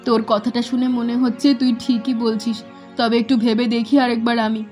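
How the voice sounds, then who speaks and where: Woman playing a caring middle-aged village mom, fairly high voice, gentle and welcoming, soft music behind. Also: flat delivery, fairly quick, lightly acted. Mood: motherly. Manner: gentle, motherly, hospitable. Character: caring village mother, warm and hospitable. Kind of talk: animated cartoon dialogue.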